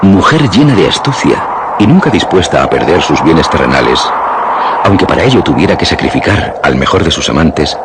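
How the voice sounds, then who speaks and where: A man narrating a scripted radio drama in a literary, dramatic way with measured phrasing. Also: extremely low pitch, sing-song, very noisy. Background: music.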